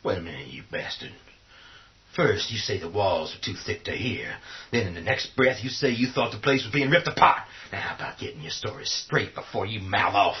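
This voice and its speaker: A male actor plays a hard-headed, argumentative male survivor in a horror radio drama. His medium-pitched voice jumps around expressively, blunt and sarcastic. The sound is slightly dull. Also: expressive, brisk, natural voice. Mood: angry, sarcastic. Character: tough, foul-mouthed, combative male survivor in charge. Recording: dull lo-fi audio.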